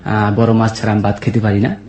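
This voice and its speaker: A man's voice: lowish voice, completely flat delivery, quick pace, darkish tone. A young man with a colloquial Bangladeshi accent telling a personal story conversationally, retelling dialogue turn by turn. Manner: retells dialogue in quoted turns. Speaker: guest telling his life story. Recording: echoey, reverberant voice.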